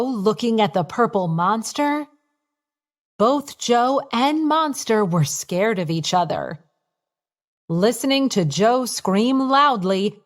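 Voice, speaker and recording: A woman narrates a bedtime story for young children at a very unhurried pace. Her voice sits fairly high, and her telling is simple and expressive.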